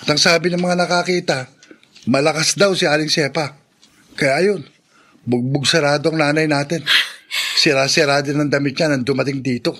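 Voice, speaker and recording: A man's voice: on the high side, fairly even intonation, normal speed, a bit bright. A man with a Filipino accent playing a worried younger brother in a radio drama. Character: worried younger brother reporting family trouble.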